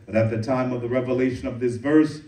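A man giving a Friday sermon as imam, reciting Arabic verses quickly and translating them into English. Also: mid-range voice, steady tone, dark tone. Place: mosque prayer hall. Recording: echoey room, voice from a distance.

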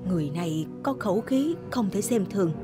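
A woman voicing a stately older male general in an animated folktale, speaking formally with measured authority. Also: mid-range voice, music in the background, close to an everyday voice. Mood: grave, approving.

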